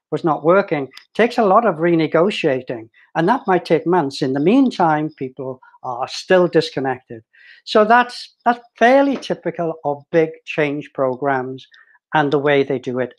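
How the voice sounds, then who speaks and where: An older man giving a conversational presentation on a video call, picked up by his webcam in a small room at home. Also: fairly high pitch. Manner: conversational, restarts and fillers while explaining. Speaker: meetup presenter, business consultant.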